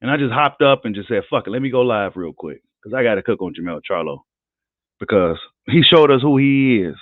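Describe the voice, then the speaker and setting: A hoarse man who says he is losing his voice, ranting heatedly and profanely on a live boxing vlog. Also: mid-range voice, animated, brisk, no room sound. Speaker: boxing commentator. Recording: tinny phone-like line, dry.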